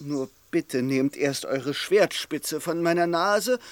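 Ein Schauspieler spielt in einem Hörspiel einen hochmütigen rivalisierenden Ritter, der förmlich und blumig spricht. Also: male voice, a little high, slow pace. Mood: grudging, defeated. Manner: formal, flowery, archaic speech. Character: haughty male rival knight, mock-courtly challenger. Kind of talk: radio play acting.

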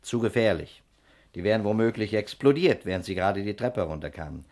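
An actor playing a shrewd, authoritative investigator in a 1960s German radio crime drama, speaking briskly and methodically. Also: male voice, fairly low pitch, expressive, a little mellow, lightly acted. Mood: accusing, relentless. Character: shrewd, commanding male private investigator, methodically accusing.

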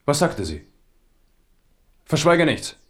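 A man's voice: on the high side, sing-song, takes it slowly, fairly bright tone, full character voice. An actor playing a wry, pragmatic, philosophical king in a German radio drama. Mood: probing.

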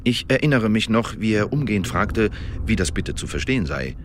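An actor playing an Elizabethan secret agent who gives a precise, measured official report in a radio drama monologue. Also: male voice, on the low side, sing-song, slight background noise. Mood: careful, matter-of-fact.

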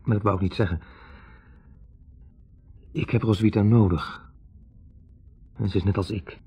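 Gravelly, wavering young student. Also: male voice, on the low side, steady tone, a little slow, warm tone, soft background music, full character voice. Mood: hesitant, reflective. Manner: hesitant, searching for words. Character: wavering, introspective young male medical student. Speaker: actor in a radio play. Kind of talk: 1960s Dutch radio drama acting. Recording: dull, band-limited 1960s radio recording.

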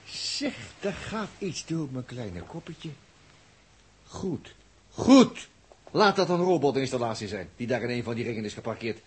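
A man's voice: mid-range voice, expressive, a little slow, slightly rough. An actor playing a shrewd, outspoken crewman in a 1960s radio play, colloquial and wry. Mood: wry, questioning.

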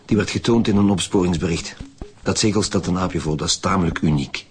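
A man's voice: deep voice, fairly quick, lightly acted. An actor in a radio drama playing a direct, no-nonsense male chief police inspector. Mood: formal, firm. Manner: direct, commanding, terse.

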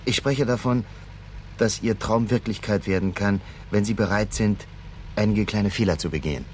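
Casting: male actor as scheming vice president, low voice, measured and coaxing, vintage radio thriller with slight background noise. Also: a little mellow, lightly acted. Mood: conspiratorial. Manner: measured, persuasive, probing. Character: smooth, calculating male company vice president, tempter. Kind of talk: 1970s German radio crime drama acting.

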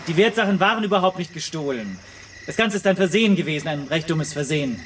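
Radio drama: formal, placating man, high-pitched, slightly distant with noise behind. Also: animated, fast, fairly bright tone. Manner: formal, careful. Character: placating, evasive man smoothing over a quarrel.

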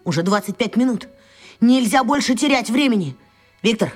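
A woman playing a brisk, stubborn schoolboy hero in a radio play. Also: on the high side, animated, soft background music. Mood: brisk, resolute.

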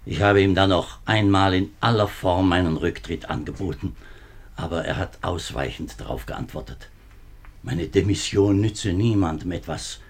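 A man's voice: low-pitched, normal speed. A man playing a nervous, worn-down middle-aged minister in a 1950s German radio drama, speaking formally but hesitantly. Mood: anxious, weary. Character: nervous, worn-down government minister, husband and father.

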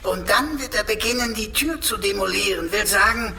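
A man's voice: high-pitched, moderate pace, vocal fry, bright, forceful tone, a little hiss or hum, full character voice. A man playing a cheeky talking computer sidekick in a radio play, pompous, wordy and mock-archaic in manner.

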